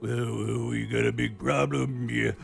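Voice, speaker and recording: A man voicing a gruff, frantic bear man from a ruined future, stammering through an urgent comic plea. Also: medium pitch, fairly even intonation, a little slow. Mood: urgent, flustered. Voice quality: gravelly. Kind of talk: comic in-character recorded plea. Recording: music under the voice.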